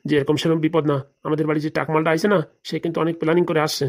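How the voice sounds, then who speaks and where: A woman's voice: deep voice, level tone, racing, darkish tone, lightly acted. A woman voicing a resentful, henpecked husband in a comedy cartoon, confiding and insistent. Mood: secretive. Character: resentful henpecked husband, furtive, plotting against his wife.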